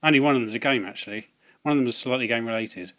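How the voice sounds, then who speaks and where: A slightly hoarse man, talking casually to camera about video game purchases, hesitant with many ums and restarts. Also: medium pitch, racing, pushed and bright. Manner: hesitant, lots of ums and restarts, wry asides. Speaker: video game collecting vlogger. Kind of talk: casual rambling vlog to camera.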